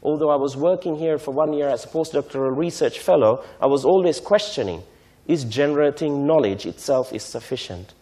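A man's voice: fairly high pitch, fairly quick, mellow. A man in his thirties, originally from Sri Lanka, giving a conference lecture at a podium mic in a large hall, stressing key words and often asking "right?". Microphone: podium microphone.

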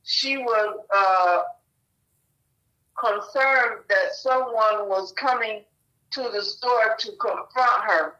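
A woman co-host reading a news report aloud, halting and stumbling over names, on a muffled line. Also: fairly high pitch, sharp tone.

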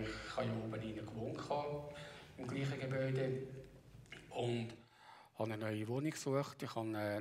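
A middle-aged or older man with a Bernese Swiss German accent, giving a halting personal account on stage and often clearing his throat. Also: mid-range voice. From Bernese Oberland, Switzerland. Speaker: practitioner giving a talk.

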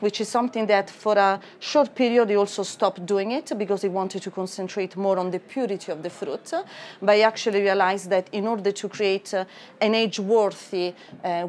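A woman in her thirties with an Italian accent, a wine merchant describing a wine carefully with frequent hesitations in a wine cellar. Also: mid-range voice. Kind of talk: tasting discussion.

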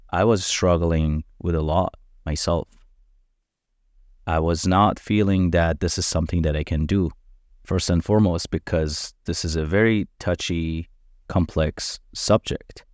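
A man whose first language is Farsi, hosting a podcast and giving an earnest, measured introduction. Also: sits low, darkish tone, no room sound, studio quality. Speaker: podcast host.

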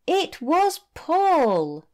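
A British woman teacher narrating a children's storybook for learners in a deliberate, expressive way. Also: very high voice.

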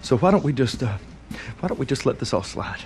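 A man's voice: medium pitch, big pitch swings, fairly quick, a little mellow, faint music behind, lightly acted. An actor playing a timid, pleading working man in a video game, stammering and hesitant. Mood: nervous pleading.

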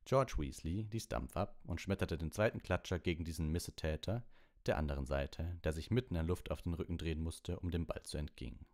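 Male narrator for a bedtime audiobook, low register, reading scripted prose. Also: a little fast, a little mellow.

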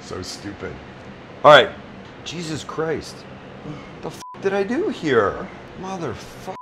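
A man's voice: fairly high pitch, big pitch swings, slow, fairly bright tone, slight background noise, low-quality recording. A middle-aged man hosting a casual cooking demonstration in a small restaurant kitchen, joking bluntly and swearing freely. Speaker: chef and cooking show host.

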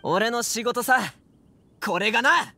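A man voicing a brave, casual young bodyguard in a video game, brisk, confident and protective. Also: very high voice, sharp tone, faint music behind, full character voice. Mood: bold, determined. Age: young adult.

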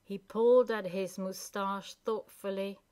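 Woman narrating a storybook, mid-pitched. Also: steady tone, measured pace, a little mellow.

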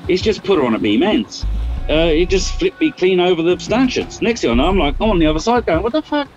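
Animated man on a video call. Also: sits high, fast talker, faint music behind. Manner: animated, swears, acts out his own reactions. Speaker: guest sailor. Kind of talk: casual storytelling. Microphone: video call webcam. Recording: dull lo-fi video call audio.

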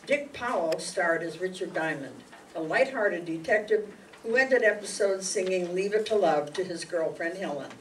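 An older woman reads a scripted introduction as presenter. Her voice is on the low side, heard across a hall with faint noise. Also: animated, normal speed. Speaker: presenter introducing radio plays. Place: meeting room stage before an audience. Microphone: handheld microphone, recorded by audience camera. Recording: distant mic, noisy hall.

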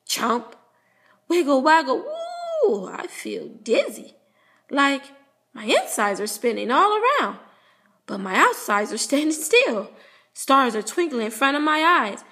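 Woman reading a storybook, very lively pitch. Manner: playful, animated, with drawn-out sound words. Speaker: teacher reading a children's book aloud. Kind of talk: expressive storybook read-aloud as a puppy narrator.

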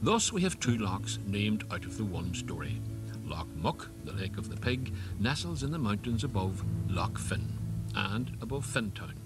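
A man's voice: fairly low pitch, big pitch swings, normal speed, over loud music. A middle-aged man with a Northern Irish accent, presenting and interviewing for a television documentary. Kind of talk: scripted documentary narration and casual interviewing.

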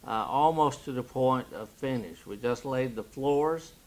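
A man in his sixties, a missionary, giving a halting project update full of "uh" pauses. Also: mid-range voice, darkish tone, poor audio. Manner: halting, many "uh" fillers. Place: studio set with wooden wall backdrop.

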